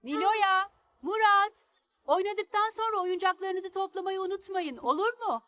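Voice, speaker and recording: Cartoon mother: high-pitched, gentle, instructing young children. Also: measured pace. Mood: gently instructing.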